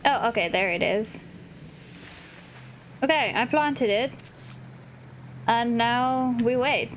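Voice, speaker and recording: A woman hosting a gaming video, chatting casually and excitably as she plays and often exclaiming. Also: on the high side, takes it slowly, slight background noise, rough recording. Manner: excitable, exclamatory, chuckles at herself. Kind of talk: casual let's-play chat, reading game dialogue aloud.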